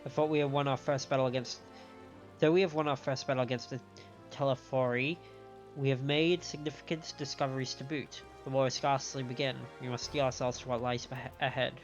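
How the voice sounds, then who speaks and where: Male game streamer, mid-pitched, measured read-aloud and commentary, slightly muffled stream sound over music. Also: little pitch movement. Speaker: livestream host playing and reading a game story aloud. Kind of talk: live game commentary, reading dialogue aloud.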